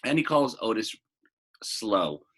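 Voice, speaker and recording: A man's voice: lowish voice, big pitch swings, slow, fairly bright tone, breathy edge, exaggerated voice. A man reading the part of an earnest, eager, stubborn young girl in a storybook, plain-spoken and insistent. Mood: defiant.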